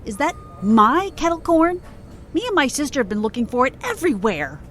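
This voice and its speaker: A woman's voice: high-pitched, very animated, brisk. A woman playing a sarcastic, petulant character who squabbles whinily in an audio drama. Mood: indignant. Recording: faint background noise.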